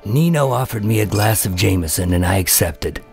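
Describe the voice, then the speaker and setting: A low male voice of an actor plays a nervous undercover agent in a noir radio drama. He tells his story in a wry, deadpan way, with music prominent behind him. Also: moderate pace, vocal fry. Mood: tense, wry. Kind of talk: first-person noir radio drama narration and dialogue.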